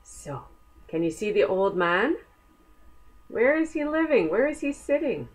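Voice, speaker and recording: A woman reading a children's book aloud as a storyteller, gently inviting young listeners along with questions. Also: mid-range voice, big pitch swings, a little slow.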